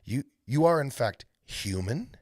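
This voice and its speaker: Man voicing an excitable, fussy storybook faun. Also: medium pitch, a little slow, no room sound, studio quality, voice pushed up. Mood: puzzled, eager. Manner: stammering, fussy, formally polite. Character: excitable, courteous, faintly melancholy male faun. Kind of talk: storybook read-aloud character voice.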